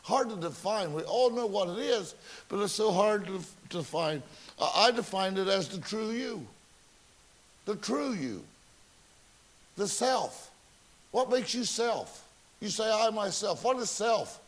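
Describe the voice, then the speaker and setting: A man preaching in a church, his voice sitting a little high for a man. He tells homely stories and asks rhetorical questions. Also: expressive, measured pace, long pauses. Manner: folksy storytelling with rhetorical questions, self-mocking. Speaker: pastor. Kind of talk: sermon. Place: church sanctuary.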